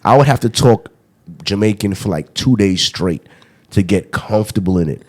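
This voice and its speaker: A man's voice: fairly low pitch, expressive, normal speed, darkish tone. A young man hosting a podcast in casual chat, telling loose stories and often checking in with "you know what I mean". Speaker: podcast host.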